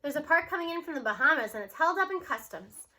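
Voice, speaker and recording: A woman's voice: sits high, expressive, quick pace, noisy background. A woman voicing an offhand, nosy male car mechanic in a storybook, speaking casually.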